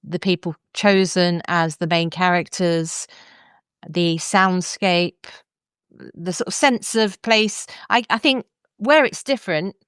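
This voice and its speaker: A woman's voice: lowish voice, slow, fairly bright tone, clean tone, dry recording, clean studio sound. A woman hosting a podcast, chatty and articulate as she interviews a guest in a conversational way. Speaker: podcast host.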